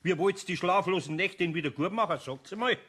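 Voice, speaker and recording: Older Bavarian master craftsman: slightly high voice, gruff, grumbling, kindly, children's audio drama. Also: fairly quick, a bit bright. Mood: stern. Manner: stern, grumbling, good-natured. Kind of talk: children's audio play acting.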